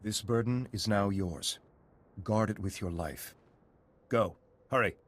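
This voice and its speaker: An actor playing a grave, weary, duty-bound Jedi scout in a video game. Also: male voice, lowish voice, slow. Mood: grave, urgent. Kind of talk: video game cutscene acting.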